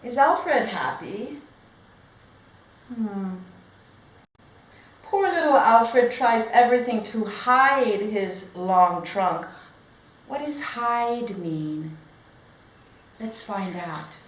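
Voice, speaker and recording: A woman teacher reading a storybook aloud as a lesson for young learners, speaking in simple, deliberate phrases with pauses and questions to her listeners. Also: a little high, animated. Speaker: teacher demonstrating read-aloud techniques. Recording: echoey room with clear background noise.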